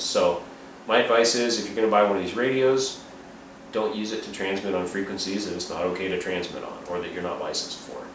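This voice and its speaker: A man giving an informal, unscripted product review and explainer in a plain-spoken, matter-of-fact way. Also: sits low. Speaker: reviewer and amateur radio hobbyist. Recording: roomy, distant mic, clearly noisy.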